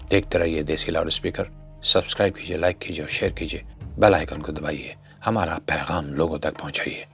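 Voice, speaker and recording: A man's voice: rumbling low, fairly even intonation, fairly quick, fairly bright tone. A man delivering scripted social commentary in a rhetorical, admonishing, exhortative manner. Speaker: narrator and commentator. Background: background music.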